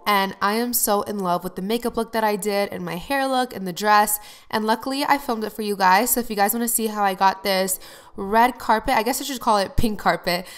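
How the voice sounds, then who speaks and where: A woman's voice: mid-range voice, a little fast. A young adult woman narrating her makeup routine to viewers in an upbeat, chatty way, sounding a little nasal from a cold. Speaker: beauty vlogger. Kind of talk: get-ready-with-me voice-over.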